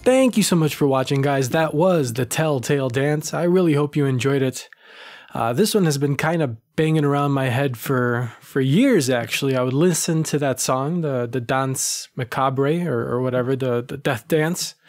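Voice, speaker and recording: A man narrating a first-person horror story with measured, slow phrasing, who also hosts the channel. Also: mid-range voice, a little mellow. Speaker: audio drama creator and narrator.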